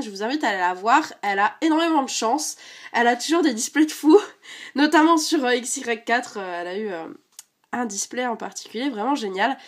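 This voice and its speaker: Casual vlogger, woman, slightly high, congested from a cold, easygoing chatter. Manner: chatty, many fillers, rattles off card names. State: stuffy from a cold. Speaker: card collecting vlogger. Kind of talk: casual unboxing chat.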